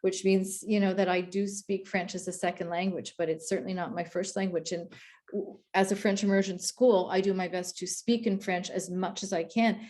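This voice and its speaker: A woman's voice: medium pitch, fairly even intonation, normal speed, darkish tone. A woman from Quebec speaks on an education panel in a mid-range voice. She talks earnestly and conversationally, backing up and restarting phrases as she goes. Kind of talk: panel discussion.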